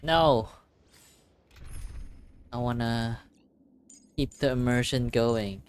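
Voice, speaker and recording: A streamer giving casual live reaction commentary on a game, invested and excitable, muttering reactions. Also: mid-range voice, drawn-out words, clear, resonant tone.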